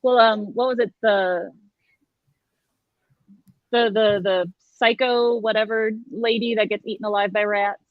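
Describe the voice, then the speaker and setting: A woman's voice: mid-range voice, steady tone, measured pace, pauses often, no room sound, phone-quality sound. A woman co-hosting a casual book discussion, with slangy asides and dramatic quoting. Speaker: co-host of a book discussion livestream. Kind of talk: casual chat.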